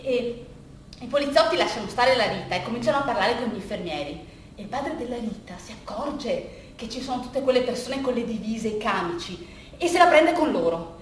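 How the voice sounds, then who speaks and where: An echo-heavy, distant recording with faint noise carries a woman's high voice. She performs a storytelling monologue for the theatre. Her delivery is conversational and halting, with phrases often repeated. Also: animated.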